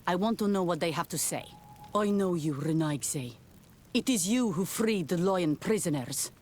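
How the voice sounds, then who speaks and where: A woman's voice: lowish voice, animated, moderate pace, darkish tone, slight background noise, natural voice. A woman playing a stern, commanding clan leader in a fantasy video game. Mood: stern, accusing.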